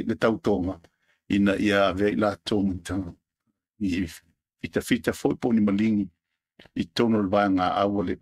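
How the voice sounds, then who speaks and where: A man from Samoa with a Samoan accent who hosts a podcast as a Marine veteran. He talks in a loose, halting monologue full of restarts and repeated words. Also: lowish voice, dry recording.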